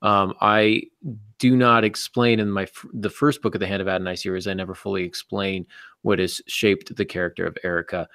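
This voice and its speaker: Male host, middle-aged, measured explainer, gravelly low voice, dry close sound. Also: flat delivery, a bit bright, no echo. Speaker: podcast host and novelist. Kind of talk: casual discussion of fiction writing. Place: indoor office, on a video call.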